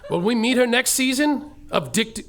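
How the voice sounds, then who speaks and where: An actor playing a cocky, swaggering space detective who narrates his own story deadpan and pleads frantically, on a live theater stage. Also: extremely high pitch, moderate pace. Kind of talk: comic musical podcast narration. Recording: guitar music behind a live stage.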